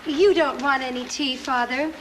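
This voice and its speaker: Coy young village belle actress for a period TV tale, demure yet teasing, high voice, noticeable background noise. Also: female voice, fairly even intonation, normal speed, lightly acted. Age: young adult. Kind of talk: television period comedy acting. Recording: muffled VHS, noisy background.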